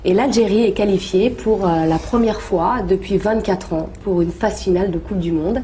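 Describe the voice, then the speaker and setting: A woman interviewing, asking lively questions and encouraging the guest. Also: medium pitch, normal speed, a little hiss or hum. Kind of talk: interview questions and brief backchannels.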